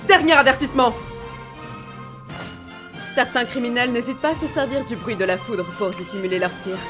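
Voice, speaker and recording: A woman's voice: a little high, quick pace, music in the background, close to an everyday voice. A woman voicing a stern, poised police captain in a game fan dub. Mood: composed, authoritative. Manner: composed, authoritative.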